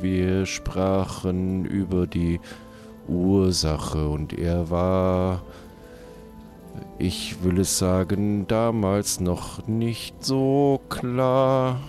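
A man playing a formal, businesslike undertaker with a deliberate, dry manner in an improvised role-play comedy. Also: fairly low pitch, a little mellow.

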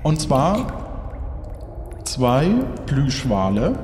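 A man narrating a tabletop role-playing game as game master, measured and somewhat hesitant. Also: medium pitch, big pitch swings, a little mellow, slight background noise. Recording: echoey hall reverb with clear music.

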